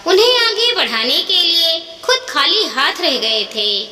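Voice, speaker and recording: Woman, earnest story narration, sharp bright voice, reverberant, noisy recording. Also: extremely high pitch, sing-song, measured pace. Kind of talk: scripted moral story narration. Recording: echoey reverberant sound, clear background noise.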